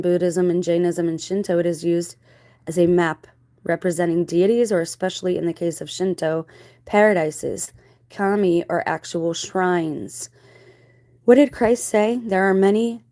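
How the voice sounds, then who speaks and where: Female video host, lowish register, close dry sound; explanatory monologue that keeps putting questions to the listener. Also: warm tone, no room sound. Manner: rhetorical questions, checking in with listener. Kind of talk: explanatory monologue on spiritual theories.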